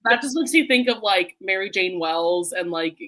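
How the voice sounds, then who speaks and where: Enthusiastic woman with a mid-pitched voice. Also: lively intonation, moderate pace, a bit bright, low-quality recording. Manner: enthusiastic, emphatic. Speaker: book readalong co-host. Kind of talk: casual book chat.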